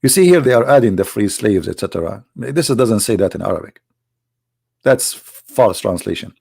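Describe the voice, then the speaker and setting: A man hosting a polemical livestream with a slight cold, talking in a mocking, rhetorical way that swings between loud emphasis and low asides. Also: lively intonation, normal speed, creaky, breathy edge, dry recording, clean studio sound. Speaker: host of a religious debate channel.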